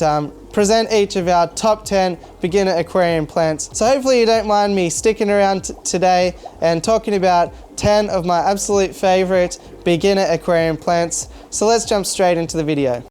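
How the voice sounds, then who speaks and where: A man with an Australian accent, a hobby vlogger chatting casually to camera in his home fish room, relaxed and full of small fillers. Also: high voice, clean tone. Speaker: aquarium hobby youtuber.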